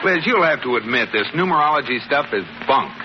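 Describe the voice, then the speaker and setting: Animated, skeptical radio husband, played by a man. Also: mid-range voice, fairly quick, a crowd in the background, close to an everyday voice. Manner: dry, skeptical. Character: exasperated, sensible, wisecracking young husband. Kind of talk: 1940s radio comedy acting. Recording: muffled noisy old radio, studio audience.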